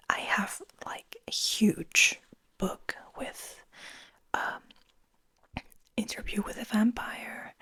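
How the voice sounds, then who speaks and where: A woman hosting ASMR, speaking in a gentle, intimate, breathy whisper at an unhurried pace. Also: medium pitch, dry recording. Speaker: ASMR host. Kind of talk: soft-spoken whispered ASMR.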